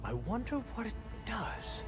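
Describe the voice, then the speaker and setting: A woman's voice: on the high side, big pitch swings, a little slow. An actress voicing a determined, curious young woman hunter in video game dialogue, thoughtful in manner. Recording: game audio with reverb and music.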